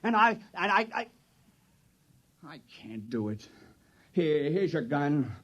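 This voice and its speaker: A man's voice: on the high side, very animated, slow pace, a bit bright, muffled recording, exaggerated voice. An actor playing a timid village man who hesitates and stammers in a 1950s radio drama. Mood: shaky. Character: timid young village man made town criminal. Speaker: town criminal.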